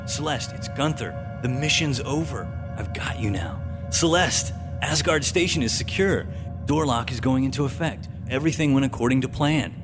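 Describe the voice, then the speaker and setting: A man plays a male covert agent coming to the rescue in an audio drama. He is calm and reassuring, with a hushed, soothing delivery. Music sits clearly behind him. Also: medium pitch, normal speed, close to an everyday voice. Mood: calm reassurance.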